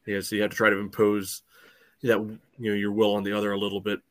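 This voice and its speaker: A man's voice: fairly low pitch, completely flat delivery, fast talker, no room sound, clean studio sound. A man giving casual soccer analysis as a podcast panelist, in a conversational, hedging way.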